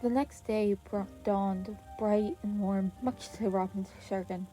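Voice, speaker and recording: A woman reading scripted fiction aloud as an expressive podfic narrator. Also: medium pitch, a little slow. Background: background music.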